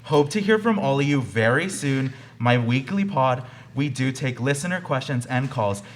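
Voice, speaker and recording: A man's voice: mid-range voice, animated, moderate pace, close to an everyday voice. A young man playing a pompous, self-promoting podcaster in a comedy radio play. His voice is mid-range and theatrically aggrieved, with faint noise behind it. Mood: smug. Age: young adult. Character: self-important, whiny male podcaster milking his victimhood.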